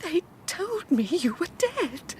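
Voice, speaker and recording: High, pleading, shocked older woman. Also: very animated, takes it slowly, a little hiss or hum, heavily acted. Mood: distraught, tender. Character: shocked, tender woman reunited with lost sweetheart. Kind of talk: radio drama acting.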